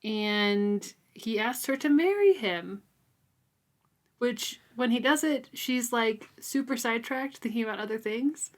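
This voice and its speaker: A woman's voice: a little high, lively intonation, measured pace. A woman co-hosting a podcast, talking through a book casually and chattily, peppering her talk with "like". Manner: chatty, frequent "like" and "super". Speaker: podcast co-host.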